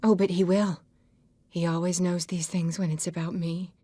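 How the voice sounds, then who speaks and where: A woman acting as a fragile, half-mad painter in a video game, breathy and husky, drifting dreamily and cryptically between halting phrases. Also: lowish voice, little pitch movement, warm tone, no room sound. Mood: resigned, fearful.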